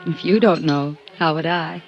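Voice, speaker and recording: An actress playing a bored, flirtatious vacationer, coy, wry and worldly, in 1950s radio noir style. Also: female voice, low-pitched, moderate pace, faint music behind. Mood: teasing, flirtatious. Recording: dull vintage radio recording.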